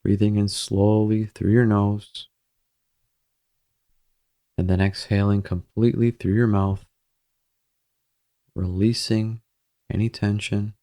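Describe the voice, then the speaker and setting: A man with a low, noticeably creaky voice guides a meditation. His delivery is gentle and measured, leaving pauses between short phrases. The intonation stays fairly even throughout, giving it a soothing, steady feel.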